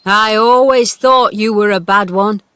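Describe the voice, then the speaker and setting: A woman voicing a cross old male gardener who is gruff but tender, in a storybook reading. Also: mid-range voice, a little slow, bright, forceful tone, no room sound. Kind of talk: storybook character voice.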